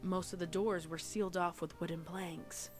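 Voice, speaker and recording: A woman's voice: fairly low pitch, fairly even intonation, normal speed, faint music behind. A woman reading fan fiction aloud as a storyteller, with casual reaction asides. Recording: faint background noise.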